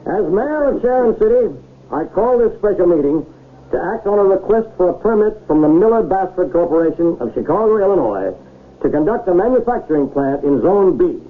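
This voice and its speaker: Plainspoken male mayor-druggist, doubtful, rough-edged husky voice, highish pitch, old-time radio drama, boxy old broadcast. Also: big pitch swings, fairly quick, narrow, tinny audio. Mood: formal. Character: skeptical, folksy small-town mayor and pharmacist.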